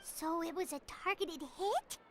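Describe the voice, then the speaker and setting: A woman voicing a small, curious, chatty childlike fairy companion in an anime-style video game, with a squeaky voice. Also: measured pace, background noise. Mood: concerned. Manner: refers to itself in the third person, inquisitive.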